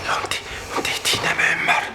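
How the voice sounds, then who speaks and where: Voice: brisk, heavy background noise, natural voice. An actor voicing a timid young companion who is easily startled, speaking Swiss German in a nervous whisper. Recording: echoey with loud music.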